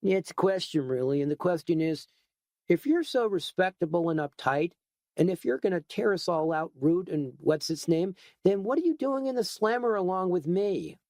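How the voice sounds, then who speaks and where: A man's voice: high voice, expressive, normal speed, a little mellow, no room sound, clean studio sound, voice pushed up. A man voicing a laid-back young male hoodlum who drawls and calls everyone "man". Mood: quiet, sly. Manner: drawling, casual, says "man" a lot.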